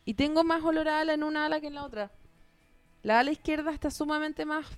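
A woman's voice: high-pitched, steady tone, fast, no echo, soft background music. A woman with a Chilean accent co-hosting a casual film chat podcast at home, sarcastic and teasing. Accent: Chilean Spanish. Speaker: podcast co-host. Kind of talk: casual chat about films.

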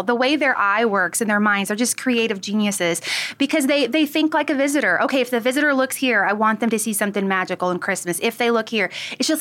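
Gushing, higher-voiced woman guest. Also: a bit bright. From Kansas, United States. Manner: gushing, emphatic, colloquial. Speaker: theme park representative, radio guest. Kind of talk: casual promotional interview chat.

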